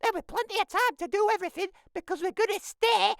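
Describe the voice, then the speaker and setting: A storybook narrator voicing a grumpy, excitable young boy ape in a whiny, emphatic manner. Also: extremely high pitch, fast, gravelly, very noisy, pitched above the performer's own voice. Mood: excited. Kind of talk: children's picture-book character reading.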